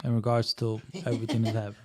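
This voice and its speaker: A man's voice: on the low side, completely flat delivery, rapid-fire, mellow, slight background noise. A man from the Dominican Republic, co-hosting a casual podcast in an easygoing, emphatic, joking way. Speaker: podcast co-host. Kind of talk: casual chat.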